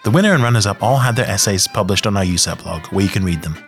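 Fast-talking low-voiced male host. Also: animated, faint music behind. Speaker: podcast host. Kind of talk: scripted introduction and interview hosting.

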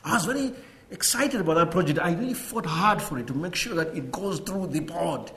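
Man, middle-aged, slightly high voice, halting, repeats words, lecture answers. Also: animated, a bit bright, a little hiss or hum. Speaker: guest speaker.

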